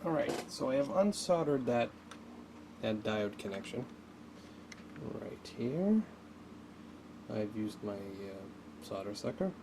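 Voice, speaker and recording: Creaky low-voiced man thinking aloud. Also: very animated. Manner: halting, thinking aloud while working. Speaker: hobbyist demonstrating an electronics repair. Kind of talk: unscripted hands-on tutorial narration. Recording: noticeable background noise.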